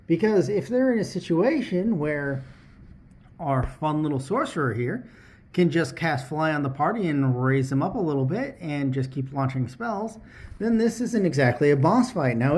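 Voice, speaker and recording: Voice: mid-range voice, big pitch swings, normal speed, darkish tone. A presenter giving an instructional explainer, talking directly to the listener and posing rhetorical questions. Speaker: tabletop RPG lesson host.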